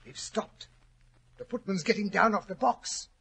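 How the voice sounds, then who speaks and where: A high-voiced blustery gent, hearty puzzled sidekick, old radio drama, kinda husky. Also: male voice, a little slow, bright, forceful tone, exaggerated voice. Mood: curious, excited. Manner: blustery, exclamatory. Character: Doctor Watson, hearty, puzzled English gentleman companion and narrator. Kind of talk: 1940s radio acting. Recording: old 1940s radio recording.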